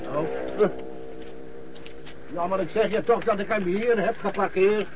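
A man's voice: sits high, a little slow, a little hiss or hum, poor audio. An actor playing a baffled, indignant man in a radio play, muttering hesitantly in colloquial speech. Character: baffled, indignant male car owner, Egon.

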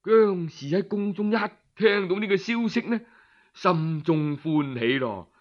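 A man's voice: sits high, animated, measured pace, dull audio. A man telling a historical tale in Cantonese, in a theatrical traditional storytelling style that addresses listeners directly. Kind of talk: dramatic traditional oral storytelling.

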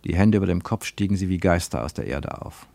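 Male narrator for a literary radio play: fairly deep, measured storytelling, dry studio sound. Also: expressive, vocal fry, dark tone, no room sound.

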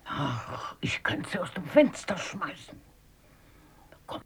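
An actress playing a gruff, feisty, scolding old grandmother in a German radio drama, with a husky voice and a blunt, grumbling way of exclaiming. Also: on the low side, sing-song, slow, fairly bright tone, full character voice. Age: older.

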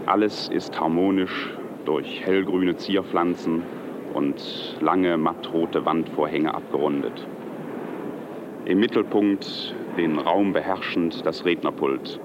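A man's voice: low-pitched, slow pace, highs cut off, background noise. An actor playing a solemn male radio reporter of the late 1940s, giving stately, scripted descriptive reportage.

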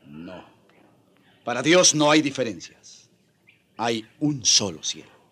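An actor in a radio drama playing a stern, pious Spanish chaplain with a husky voice and a preachy, urging manner. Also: male voice, medium pitch, big pitch swings, takes it slowly, a bit bright, heavily acted. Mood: preaching. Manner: preachy, urgent.

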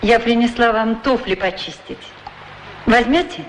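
A woman's voice: a little high, measured pace, natural voice. An actress plays a middle-aged working widow in a radio drama. She is shy and courteous, talking in a chatty, slightly bashful way. Her voice rings with long reverb over faint noise. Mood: shy, polite. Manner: slightly embarrassed, polite, chatty. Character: middle-aged widowed cleaning woman, shy, polite, thrifty. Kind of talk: radio play acting, conversational.

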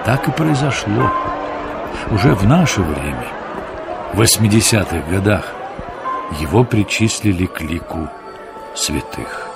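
An older actor playing a wise grandfather, narrating a radio play in a measured, instructive manner. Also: lowish voice, big pitch swings, vocal fry, full character voice. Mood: solemn, instructive. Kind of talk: scripted storytelling narration. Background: prominent new-age music.

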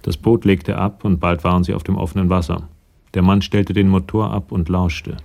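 Very deep, gravelly male narrator. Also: level tone, moderate pace, a little mellow. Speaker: radio play narrator. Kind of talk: scripted narration, literary radio drama.

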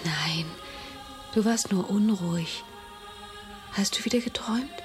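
Woman playing hushed, tender young woman. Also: mid-range voice, a little slow, faint music behind, close to an everyday voice. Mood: tenderly soothing. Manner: hushed, gentle. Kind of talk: German radio play acting.

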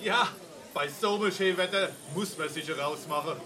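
A man's voice: on the high side, moderate pace, natural voice. A man playing an easygoing, forgiving tavern regular with a Hessian accent in a dialect comedy. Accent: Hessian, Darmstadt dialect. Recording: crowd noise around the voice.

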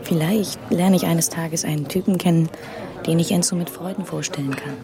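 A man reading the part of a young single mother, speaking softly and wistfully in a slightly raised voice. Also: on the high side, normal speed, a crowd in the background, pitched above the performer's own voice, natural voice. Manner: soft, quiet, wistful. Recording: music underneath. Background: music.

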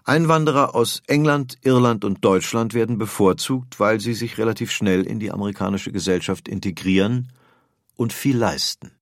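A man narrating a scripted history documentary in a steady, informative way. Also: on the low side, normal speed, dry recording, clean studio sound.

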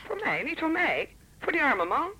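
Very high, sharp indignant mother. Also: sing-song, normal speed, exaggerated voice, poor audio. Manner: blunt, indignant, plain-spoken. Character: indignant, busy housewife and protective mother on the phone. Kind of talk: Dutch radio drama acting.

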